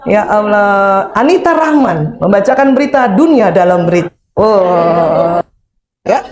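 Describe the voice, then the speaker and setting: A woman in her fifties speaks at a seminar in a chatty, humorous way. Her voice sits at an ordinary middle pitch. She keeps turning to the listeners with quick questions. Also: darkish tone. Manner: humorous, chatty, quizzes the audience. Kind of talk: lively seminar talk. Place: seminar hall before an audience.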